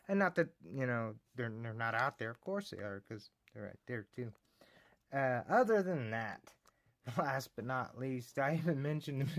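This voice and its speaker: Man, Texan accent, medium pitch, rambling, chuckling, casual vlog, faint background hiss. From Texas. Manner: enthusiastic, rambling, says y'all, chuckles often. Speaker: lock collecting channel host. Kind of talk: casual show-and-tell vlog.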